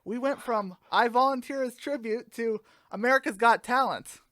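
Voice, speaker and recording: A woman co-hosting a scripted comedy news segment, delivering her jokes briskly in the manner of a newsreader. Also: a little high, very noisy. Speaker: sketch comedy news co-host. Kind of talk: scripted parody newscast.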